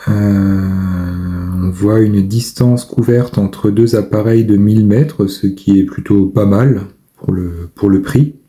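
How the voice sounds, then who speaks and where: A man giving casual product commentary, thinking aloud with hesitant pauses. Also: lowish voice, mellow. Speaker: amateur video maker reviewing a purchase.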